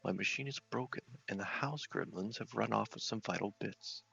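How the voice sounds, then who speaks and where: A man's voice: lowish voice, a little fast, gravelly, a bit bright, breathy edge, muffled recording, soft background music, heavily acted. A man voicing an eccentric, polite, muddled scientist with fussy speech in an ASMR game roleplay. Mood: apologetic.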